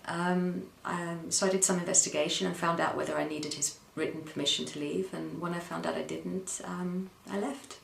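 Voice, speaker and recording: A woman from the Netherlands giving a personal account as an interview guest, speaking hesitantly with frequent pauses and ums, recorded in an echoey room. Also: fairly low pitch, fairly even intonation, vocal fry, a little hiss or hum.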